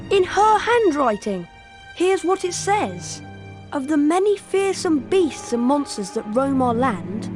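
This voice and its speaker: A woman's voice: high voice, exaggerated voice. A woman voicing an earnest, determined schoolboy wizard of about twelve in a video game. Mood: urgent discovery.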